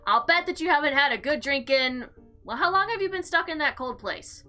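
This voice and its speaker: A woman's voice: high voice, lively intonation, brisk, sharp tone. A woman playing a visual novel, reading it aloud expressively and dramatically with casual commentary. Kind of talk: let's play narration with casual commentary. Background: faint background music.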